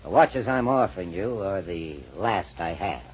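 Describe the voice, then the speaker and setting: Smooth racketeer, muffled old broadcast. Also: male voice, sits low, lively intonation, measured pace, full character voice. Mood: smooth, firm. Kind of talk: 1940s radio acting.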